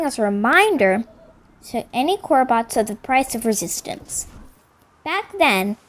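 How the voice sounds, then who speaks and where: A woman voicing an earnest female rebel robot, solemnly reading dramatic logs of an uprising. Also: high-pitched. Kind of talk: scripted dramatic log narration. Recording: music behind the voice.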